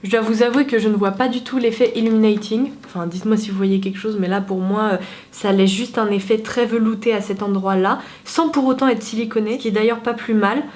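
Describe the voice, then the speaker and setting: A woman's voice: mid-range voice, steady tone, brisk, darkish tone. A young woman with a head cold, talking casually to camera as a beauty vlogger, chatty and candid. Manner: chatty, candid, self-mocking. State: stuffy with a cold.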